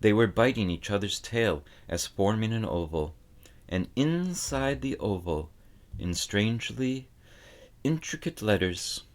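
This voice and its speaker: A man reading a children's fantasy novel aloud as scripted narration, with dramatic, deliberate phrasing. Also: low-pitched, gravelly. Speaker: audiobook reader.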